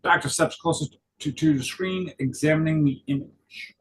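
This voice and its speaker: A man narrating stage directions at a script table read, halting and correcting himself as he goes. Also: on the high side. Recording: clear background noise.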